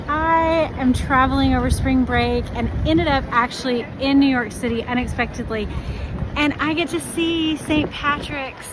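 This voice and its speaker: Female American travel vlogger, high voice, casual address, clear city noise around her. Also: moderate pace. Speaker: host.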